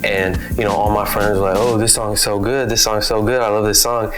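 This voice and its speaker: A man's voice: fairly low pitch, little pitch movement, quick pace. A man in his twenties from Texas, a rapper speaking plainly and matter-of-factly about his music. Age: 20s. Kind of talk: casual self-introduction interview.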